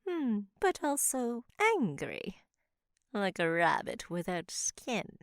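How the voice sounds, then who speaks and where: A woman voicing a teasing, motherly old forest witch in a visual novel, with theatrical delivery and drawn-out endearments. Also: mid-range voice, huge pitch swings, no room sound, clean studio sound, heavily acted. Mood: sly teasing.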